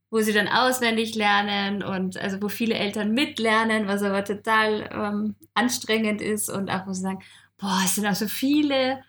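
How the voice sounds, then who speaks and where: Woman podcast co-host, lively and chatty with a raised pitch. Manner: lively and chatty, laughs often. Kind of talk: casual podcast chat.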